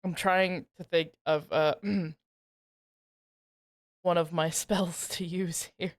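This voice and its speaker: A woman playing a tabletop role-playing game, talking casually and deliberately as she thinks aloud. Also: fairly low pitch, steady tone.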